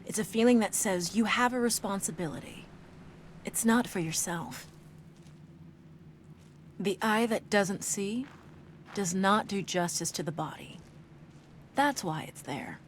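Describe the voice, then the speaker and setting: A woman giving an expressive, reflective spoken-word recital, emphatic and at times hushed. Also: medium pitch, measured pace, pauses often, a little hiss or hum. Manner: dramatic, emphatic delivery with hushed phrases.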